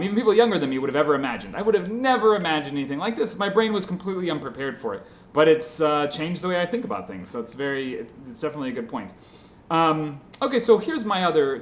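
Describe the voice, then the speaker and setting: Rambling man in a roomy space. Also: fairly high pitch. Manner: rambling, encouraging, many fillers. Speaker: interviewer. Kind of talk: casual conversation. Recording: roomy with faint noise.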